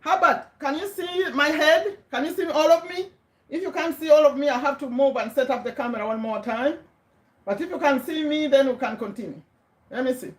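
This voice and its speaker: A woman's voice: high voice, fairly quick. A middle-aged woman from Uganda with a Ugandan accent, hosting a casual motivational livestream on a webcam, chatty and repeating words for emphasis as she checks in with viewers. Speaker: livestream host. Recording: echoey room.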